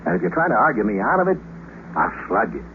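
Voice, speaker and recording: An actor playing an easygoing, stubbornly generous American astronaut in a 1950s radio drama, with a breezy, wisecracking delivery. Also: male voice, medium pitch, very animated, fast talker, creaky, music in the background. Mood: friendly, insistent. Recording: muffled, hissy 1950s radio recording.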